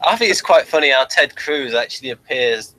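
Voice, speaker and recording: A man's voice: mid-range voice, expressive, brisk, sharp tone, rough recording. A man chatting casually and without a script as a podcast co-host. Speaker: podcast co-host reviewing a TV show. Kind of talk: casual unscripted chat.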